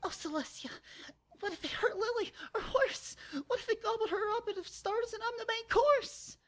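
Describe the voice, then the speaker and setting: A man voicing a frightened young female pony in a shrill, pleading falsetto for an amateur dramatic reading. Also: moderate pace, a bit bright. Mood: panicked.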